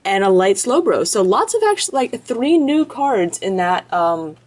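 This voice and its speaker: A woman's voice: mid-range voice, big pitch swings, a little mellow. Chatty woman listing off card names. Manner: chatty, lists card names quickly in a row. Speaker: card-opening video host. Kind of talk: casual commentary while opening card packs. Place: indoors at a table, off camera behind hands.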